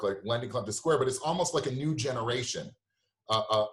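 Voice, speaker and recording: A man's voice: medium pitch, a bit bright. Man hosting an interview, roomy echo. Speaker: show host interviewing a guest. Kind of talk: conversational interview.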